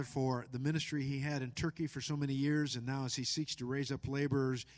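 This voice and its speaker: Middle-aged man, pastor at the pulpit, halting delivery full of fillers, average pitch. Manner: halting, with frequent filler pauses. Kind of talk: church introduction and spoken prayer. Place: church sanctuary.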